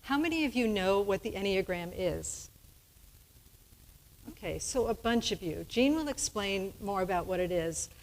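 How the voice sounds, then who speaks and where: A woman's voice: mid-range voice, lively intonation, normal speed, a little hiss or hum. Chatty woman minister in a reverberant sanctuary. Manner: chatty, laughing easily. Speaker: minister hosting a church service. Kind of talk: casual on-stage interview. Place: church sanctuary with balcony. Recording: hall reverb from a large sanctuary.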